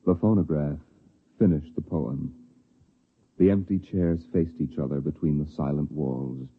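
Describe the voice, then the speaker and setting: A man narrating a 1950s radio drama in a grave, theatrical way with deliberate phrasing. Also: low voice, slightly rough. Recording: muffled vintage radio, music behind.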